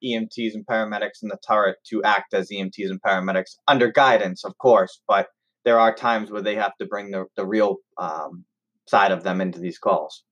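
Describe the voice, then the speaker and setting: A man interviewing in a conversational, measured way, framing his questions with empathy. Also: on the low side, fairly even intonation, a bit bright. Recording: slightly dull lo-fi audio.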